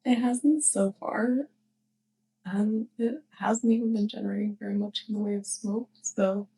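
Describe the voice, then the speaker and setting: A woman playing a sweet, clumsy young witch neighbor in an intimate ASMR roleplay, speaking softly and hesitantly with shy affection. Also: mid-range voice, steady tone, a little slow, poor audio. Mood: playful, reassuring. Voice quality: soft, breathy. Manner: hesitant, giggly rambling.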